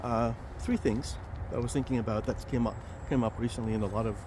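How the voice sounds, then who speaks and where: A man hosting a casual vlog while walking outdoors near a highway, chatty and rambling with many fillers and restarts. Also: fairly even intonation, fast, background noise. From Romania. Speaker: vlog host, financial planner.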